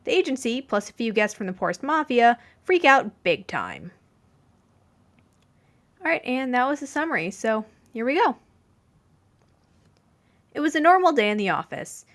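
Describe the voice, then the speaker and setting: A woman reading fan fiction aloud as an expressive scripted narration. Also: fairly high pitch, moderate pace, pauses often. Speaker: podfic reader.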